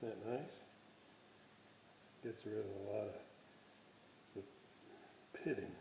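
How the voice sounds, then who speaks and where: Slow-talking man musing in a roomy space. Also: lowish voice, fairly even intonation, gravelly, mellow, a little hiss or hum. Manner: musing aloud, halting, thinking while working. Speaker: hobbyist presenter of a restoration video series. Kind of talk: casual hands-on workshop demonstration. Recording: dull, roomy home workshop recording.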